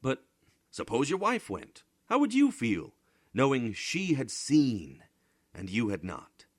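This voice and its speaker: A man's voice: mid-range voice, huge pitch swings, takes it slowly, slightly rough, dry recording, pitched above the performer's own voice, heavily acted. Storybook father voiced by a male reader: poor, dreamy, anxious, earnest and dramatic, recorded dry. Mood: defiant.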